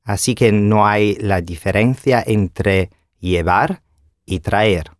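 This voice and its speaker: A man from northern Italy with an Italian accent, speaking Spanish as a learner on a podcast, searching for words and pausing often. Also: lowish voice, dry recording, clean studio sound. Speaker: guest language student. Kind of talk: casual chat.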